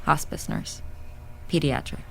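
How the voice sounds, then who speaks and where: A woman playing a grieving, wry hospice nurse in a naturalistic audio drama, confiding in a halting, candid way. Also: a bit bright, a little hiss or hum, natural voice, poor audio. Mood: weary, raw. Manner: halting, confiding, dry-humored.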